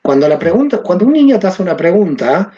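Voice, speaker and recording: Male guest teacher from Argentina, animated and expressive, on a muffled recording. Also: high voice, quick pace. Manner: animated, rhetorical questions. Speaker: guest, Kabbalah teacher and author. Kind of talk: conversational explanation.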